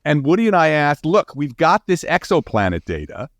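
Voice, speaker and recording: Animated man explaining as an expert guest. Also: on the high side, normal speed, dry recording, clean studio sound. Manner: animated, informal, emphatic, often checking with "right?". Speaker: guest expert, astrophysicist and author. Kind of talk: casual explanatory interview talk.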